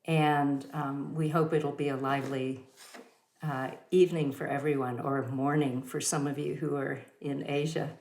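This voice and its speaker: A woman hosting a studio talk, introducing her guest with many fillers and pauses. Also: extremely low pitch, level tone, a little mellow, roomy sound. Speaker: host of a yoga studio talk.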